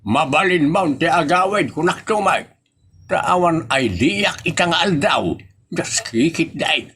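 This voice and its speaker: Bossy, stubborn Ilocano man, acted by a man, high voice, very animated, comedy drama. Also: measured pace, slightly rough, exaggerated voice.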